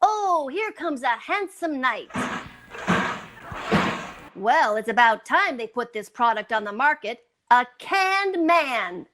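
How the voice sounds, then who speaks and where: An actress playing an arch, playful mock-medieval damsel in a 1940s radio comedy commercial. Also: female voice, sits high, very animated, slow, a bit bright, full character voice.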